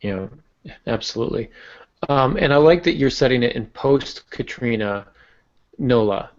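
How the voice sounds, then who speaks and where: Gravelly-voiced man teaching informally. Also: medium pitch, animated, measured pace, dull audio. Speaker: podcast host and writing teacher. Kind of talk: conversational teaching about writing.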